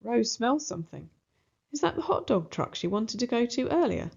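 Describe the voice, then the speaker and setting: A woman narrating a children's book aloud in an expressive storybook style. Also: fairly high pitch, normal speed, gravelly, breathy edge. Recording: slightly dull, muffled recording.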